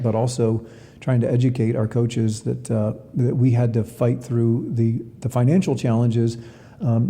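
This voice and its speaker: Dark-voiced man in a reverberant hall. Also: mid-range voice. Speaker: athletics director being interviewed. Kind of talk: reflective interview answers. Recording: long reverberant hall echo.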